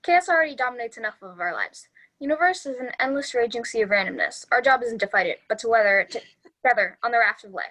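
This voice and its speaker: A woman's voice: a little high, lively intonation, fast talker, bright, forceful tone, poor audio. A woman playing a clueless, analytical young man in a sitcom script read, speechifying in an earnest, matter-of-fact way.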